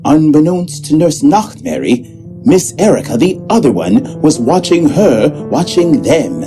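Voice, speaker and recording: A man's voice: a little high, sing-song, unhurried, music in the background. A man playing the theatrical, easily flustered narrator of a comic audio drama.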